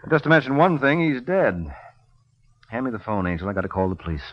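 An American man acting a wry, deadpan private detective in an old radio drama. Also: mid-range voice, a little fast, background noise, natural voice. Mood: brisk, urgent. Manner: deadpan, wisecracking. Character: wry, hard-boiled male private detective, unflappable and flirtatious. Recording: dull vintage radio recording.

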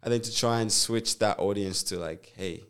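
A young man from Zambia, a nurse and musician, chatting in a relaxed, rambling way as an interview guest, with lots of fillers and restarts. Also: on the low side, moderate pace, smooth. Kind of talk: casual interview chat.

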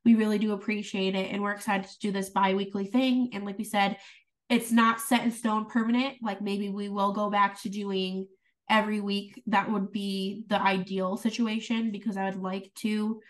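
A woman's voice: mid-range voice, flat delivery, normal speed, far from the mic. A young adult woman co-hosting a podcast in casual chat, giggly and full of "like"s. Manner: giggly, filler-heavy, with many "like"s. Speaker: podcast co-host.

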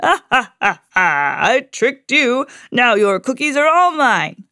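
A woman's voice: on the high side, very animated, takes it slowly, sharp tone, no echo, studio quality, pitched above the performer's own voice, heavily acted. Woman playing a sly, gloating big bad scoundrel.

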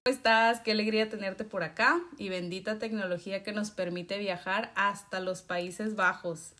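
Mexican lady interviewer, mid pitch voice, casual chatty hosting. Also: fairly even intonation, normal speed, a bit bright, faint music behind. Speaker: interview host.

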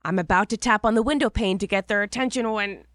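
A woman's voice: mid-range voice, fast talker, a bit bright, no echo, clean studio sound, natural voice. A woman playing a curious, impatient young apprentice, narrating in the first person from a script. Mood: eager, hushed wonder. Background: music.

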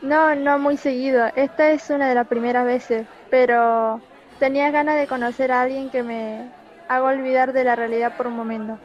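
Radio play lead: scheming young woman, female actor, high pitch, slightly dull recording. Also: steady tone, moderate pace, lightly acted. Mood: coy. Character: scheming young woman secretly bent on avenging her father. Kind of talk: amateur radio play acting.

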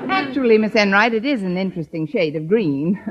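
An actress playing a sardonic, jealous high school teacher in an old radio sitcom, tossing off deadpan sarcastic quips. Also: female voice, brisk, husky. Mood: dry, needling. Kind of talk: 1950s radio sitcom acting. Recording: muffled noisy old radio recording, studio audience.

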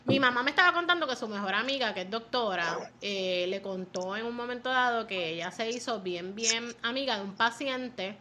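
A woman's voice: a little high, moderate pace, few pauses, sharp tone, a little hiss or hum. A woman co-hosting a casual chat, listening attentively and agreeing in short replies.

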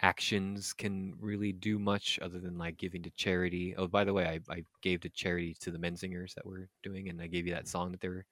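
Low-voiced man, halting and earnest podcast host. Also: level tone, a bit bright, no room sound, clean studio sound. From United States. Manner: earnest, halting, repeats words. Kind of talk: casual conversational discussion.

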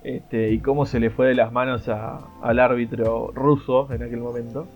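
A man co-hosting a casual podcast chat, joking around and often pausing on filler words. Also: medium pitch, little pitch movement, dull audio, soft background music. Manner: joking, frequent "este" fillers. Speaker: podcast co-host.

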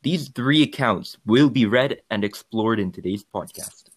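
A man's voice: medium pitch, unhurried. A man co-hosting a podcast, giving formal scripted narration. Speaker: podcast co-host.

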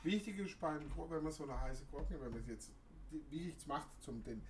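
A man's voice: fairly high pitch, animated, fairly quick, far from the mic, a crowd in the background. A man in casual chat, speaking hesitantly with many fillers.

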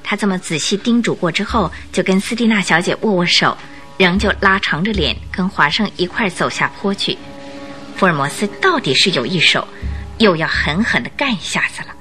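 A woman narrating a Mandarin radio drama in a scripted, expressive storytelling style. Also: on the low side, fairly quick, soft background music.

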